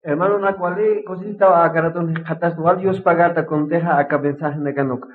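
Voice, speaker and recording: A man's voice: a little high, steady tone, moderate pace, natural voice. A man playing a church brother addressing his congregation in a radio drama, with a Bolivian Aymara accent. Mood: respectful. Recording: tinny phone-like band.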